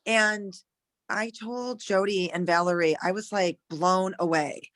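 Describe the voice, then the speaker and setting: A hoarse woman, a new sales consultant, speaking on a video call and chatting at length in a rambling way. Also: medium pitch, fairly even intonation, measured pace, a bit bright, dry recording. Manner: chatty, rambling, full of restarts.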